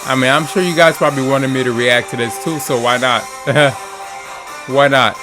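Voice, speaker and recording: A man's voice: mid-range voice, moderate pace. A man giving a casual, slangy, exclamatory live reaction as he streams game trailers. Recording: music behind the voice. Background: game trailer music.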